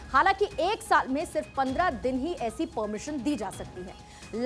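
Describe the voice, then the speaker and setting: A woman news presenter delivering a scripted explainer in a broadcast narration style. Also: high voice.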